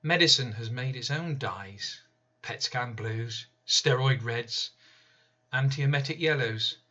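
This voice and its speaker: Man, spoken-word poetry, slow deliberate delivery, bright forceful tone, dull lo-fi recording. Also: mid-range voice. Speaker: poet reading his own poem.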